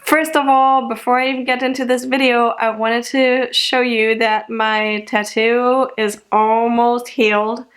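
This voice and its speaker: A young woman from Germany talks to her camera with a light German accent. Her voice sits a little high, and she rambles in an easy, chatty way, often breaking into laughter. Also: level tone, unhurried, hardly any pauses, fairly bright tone. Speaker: vlogger. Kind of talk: casual chat to camera.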